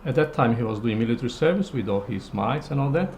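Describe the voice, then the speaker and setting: A man in his sixties, telling a personal family story with hesitant pauses and fillers. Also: medium pitch, animated, creaky, a little mellow, slight background noise. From Brazil. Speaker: interviewee.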